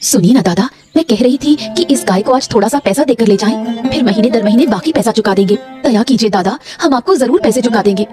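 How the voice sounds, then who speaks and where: A woman's voice: a little high, animated, brisk, few pauses, music in the background, lightly acted. A woman voicing a dramatic, emphatic female character in a moral-story cartoon. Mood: pleading. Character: animated storybook women: princess, wife, sweet seller.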